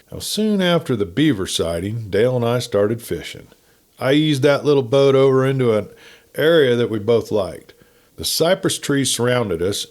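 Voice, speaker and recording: A man's voice: lowish voice, darkish tone. Man narrating a folksy campfire tale. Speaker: storyteller reading a written story.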